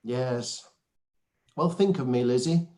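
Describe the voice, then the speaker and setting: A man's voice: mid-range voice, slow, darkish tone, close to an everyday voice. A man playing a dry, teasing but fond elderly father in a period radio play. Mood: fond, wry. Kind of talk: radio play live read.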